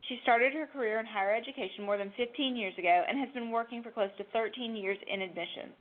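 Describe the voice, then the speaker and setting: A woman's voice: fairly high pitch, fast. A woman hosting a webinar, formally reading out a scripted introduction of a presenter. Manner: formal, reading from prepared text. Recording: phone-like narrow band.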